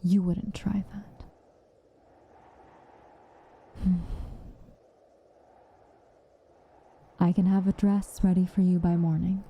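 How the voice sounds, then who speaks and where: A woman playing a stern but caring witch in an ASMR roleplay, speaking softly and with measure, dryly commanding and often slipping into a whisper. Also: on the low side, flat delivery, normal speed, breathy edge, lo-fi sound. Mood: protective, soothing. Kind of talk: ASMR roleplay, intimate acted monologue.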